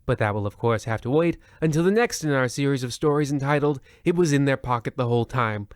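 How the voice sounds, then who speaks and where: An actor playing a self-promoting, mock-serious host of an old-time radio story anthology. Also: male voice, medium pitch, animated, quick pace, lightly acted. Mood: smug, mock-solemn. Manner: pompous, mock-dramatic.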